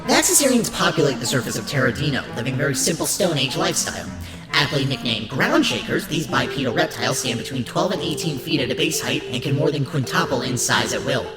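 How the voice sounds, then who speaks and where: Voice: medium pitch, expressive, fast talker. A narrator presenting a scripted documentary-style piece in an emphatic presenter's delivery. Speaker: narrator and show host. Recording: roomy echo under loud music. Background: loud background music.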